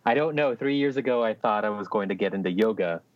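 Low-voiced man, dry slightly dull sound. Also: fast talker, no room sound. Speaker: podcast co-host. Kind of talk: casual chat and anecdote.

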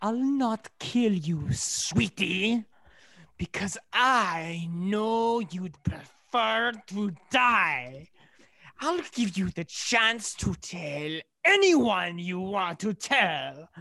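An actor playing a cruel, menacing soldier in a radio play, sneering, taunting and commanding. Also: male voice, high voice, animated, drawn-out words, creaky, sharp tone, no echo, full character voice. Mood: sneering, threatening.

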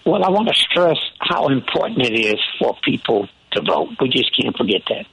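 A man with a raspy voice giving plain, exhorting commentary as a panelist. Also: mid-range voice, very animated, normal speed, a bit bright, rough recording. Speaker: panelist, commissioner.

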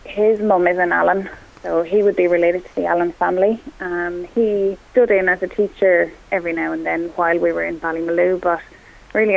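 A woman's voice: fairly low pitch, vocal fry. A woman from Kerry with an Irish accent, whose first language is Irish, answering interview questions modestly and plainly. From Ireland. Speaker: phone-in guest. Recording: tinny phone line.

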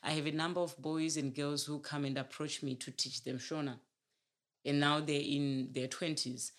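A woman's voice: deep voice, flat delivery, moderate pace. A woman, a community teacher of Shona, speaking earnestly in an interview. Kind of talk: interview answer.

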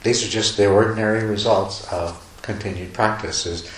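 A man giving a meditation talk to a retreat group, talking loosely with many restarts and soft chuckles. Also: lowish voice, steady tone, normal speed. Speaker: meditation teacher. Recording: echoey room, faint noise.

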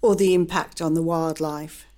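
A woman's voice: low voice, steady tone, moderate pace, mellow, natural voice. A woman acting in a radio play as a practical, community-minded townswoman. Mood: concerned. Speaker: community theatre actor.

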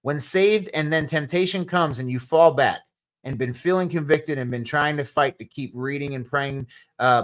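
Man, new jersey accent, podcast host, casual live q&a, energetic, mid-pitched voice. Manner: casual, energetic, answers chat questions with restarts.

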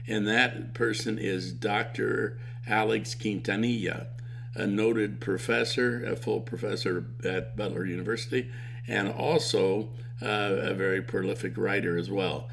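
A man hosting an interview podcast, speaking haltingly with many fillers and restarts. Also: fairly low pitch, steady tone, vocal fry, slight background noise. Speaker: podcast host.

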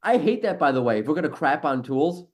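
A man's voice: a little high, very animated, fast. A man hosting a casual podcast, talking in a blunt, sarcastic and mildly profane way. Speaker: podcast host, SEO professional and former teacher.

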